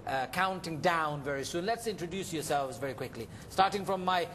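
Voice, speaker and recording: A man's voice: fairly high pitch, lively intonation, fairly quick, a bit bright, in a large hall, slight background noise. A man hosting a live fundraising interview, encouraging and peppering his talk with Arabic devotional phrases. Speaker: television charity appeal host taking calls.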